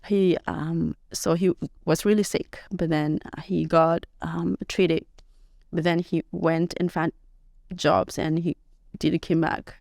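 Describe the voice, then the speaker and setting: A soft-spoken young woman who grew up on the Thailand-Burma border and whose first language is S'gaw Karen, telling her life story slowly and hesitantly as a podcast guest, with many pauses and fillers. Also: on the low side, fairly even intonation, creaky, no room sound, clean studio sound. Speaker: interview guest. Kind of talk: personal life story interview. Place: podcast studio.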